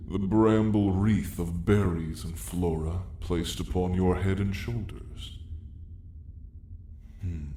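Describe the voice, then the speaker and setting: A man voicing an ancient, arrogant dragon roused in his lair, speaking with slow, imperious menace in an ASMR roleplay story. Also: sits low, dark tone, voice pushed down. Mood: intrigued. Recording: echoing cavernous sound, faint noise.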